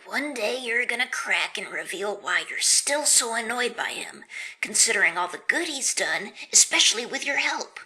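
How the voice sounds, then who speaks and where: Boy sidekick, played by a woman: highish, animated pitch, earnest, a bit snippy, audio drama. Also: normal speed, heavily acted, low-quality recording. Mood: needling. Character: young boy sidekick, earnest, quick to snipe back. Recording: music bed under the voice.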